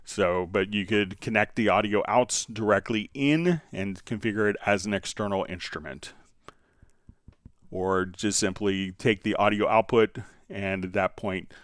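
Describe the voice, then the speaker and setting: A man hosting a software tutorial livestream, explaining step by step in an informal, halting way as he thinks aloud. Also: sits low, no room sound, clean studio sound. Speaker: host answering viewer questions on a software livestream.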